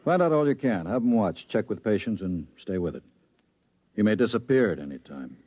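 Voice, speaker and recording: A man playing a steady bureau chief giving a briefing, in 1950s radio drama style. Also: on the low side, a little fast. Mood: firm, familiar. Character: steady male bureau chief briefing his trusted investigator. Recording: muffled vintage 1950s radio recording.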